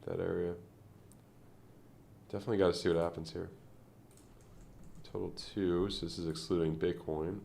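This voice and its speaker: Casual male streamer, gravelly fry, congested nasal tone, loose unscripted chart talk. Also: deep voice, flat delivery, moderate pace, pauses often, a little mellow, slight background noise. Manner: rambling, thinking aloud with many fillers. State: congested from a sinus infection. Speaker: crypto trading streamer.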